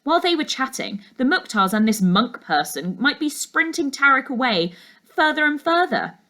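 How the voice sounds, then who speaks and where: A woman's voice: high voice, sing-song, normal speed, sharp tone. Woman reading a children's story aloud. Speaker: teacher reading a class book aloud. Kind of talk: expressive children's storybook narration.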